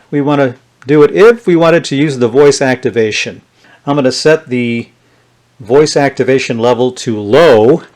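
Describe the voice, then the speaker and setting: A man's voice: mid-range voice, darkish tone. A man giving a casual, unscripted hands-on demonstration, thinking aloud as he tests things. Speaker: vintage electronics reviewer.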